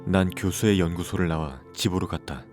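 Male horror narrator, thirties widowed father, acted: troubled, low register, clear music bed underneath. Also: brisk, lightly acted. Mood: ominous, subdued. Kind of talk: scripted horror story narration with acted dialogue.

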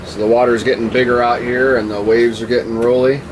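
Casual sailing vlogger over loud wind. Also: male voice, medium pitch, a little fast. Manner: relaxed, talks while steering and giving crew instructions. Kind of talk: casual vlog narration. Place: sailboat cockpit and docks outdoors. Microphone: handheld camera at arm's length. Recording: loud wind and boat noise.

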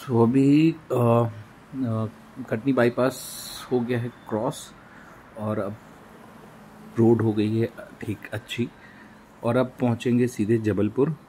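Slow-talking man in a moving car. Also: medium pitch, fairly even intonation, warm tone, low-quality recording. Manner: unhurried, pauses with fillers. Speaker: road trip vlogger. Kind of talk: casual narration while driving. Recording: car with faint road noise.

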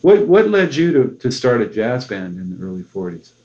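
A man's voice: medium pitch, sing-song, moderate pace, darkish tone. A man with an American accent asks questions as an interviewer, in a casual, curious and attentive way. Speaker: music journalist interviewer. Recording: muffled interview tape.

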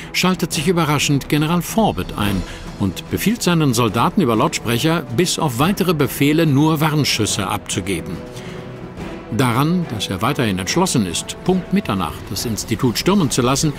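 A male narrator telling a radio play in calm, measured sentences. His voice sits at a middle pitch over clear background music. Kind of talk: scripted narration.

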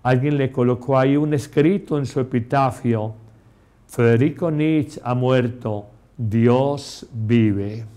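A middle-aged man, a priest, giving a homily in a slow, emphatic way, speaking straight to the listener in a small chapel. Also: mid-range voice, mellow. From Colombia. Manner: slow, emphatic preaching, addressing the listener directly. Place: small chapel set, indoors.

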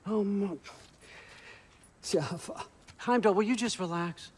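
A man's voice: sits high, slow, husky, full character voice. An actor playing a wily older father god in a video game, talking in a casual, glib, folksy way. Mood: exasperated, glib. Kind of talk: video game cutscene acting.

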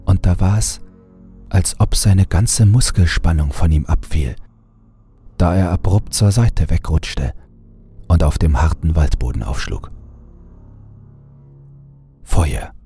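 Male narrator, horror audio story, bottomless low pitch, dark husky timbre, measured delivery, dramatic pauses. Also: expressive, soft background music.

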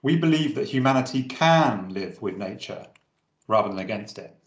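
A man giving a scripted, measured narration over a slideshow of drawings. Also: medium pitch, animated, lo-fi sound. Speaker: presenter. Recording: roomy echo.

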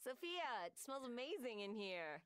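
A woman's voice: a little high, big pitch swings, moderate pace, clear, resonant tone, soft background music. A woman playing a blunt, laid-back, self-assured young romantic partner in a video game cutscene, speaking frankly. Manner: frank, easygoing.

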